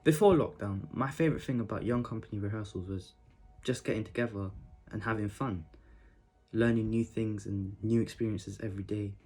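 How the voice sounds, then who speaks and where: A man's voice: on the low side, fairly quick, mellow. Young man narrating over faint music. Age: young adult. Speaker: youth theatre company member. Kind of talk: scripted narration to promote a project.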